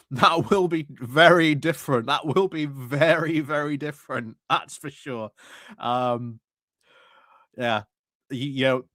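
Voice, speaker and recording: A man's voice: medium pitch, fairly bright tone, no echo. Male live-stream political commentator, sardonic, scornful, casual, very dry close sound, repeats for emphasis. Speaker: streamer commentating on a council meeting.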